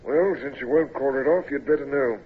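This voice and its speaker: A bluff husky naval lieutenant. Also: male voice, mid-range voice. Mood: grave. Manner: bluff, coaxing, brisk. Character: hearty senior male naval lieutenant. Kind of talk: 1940s radio acting. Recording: tinny phone-like old radio recording.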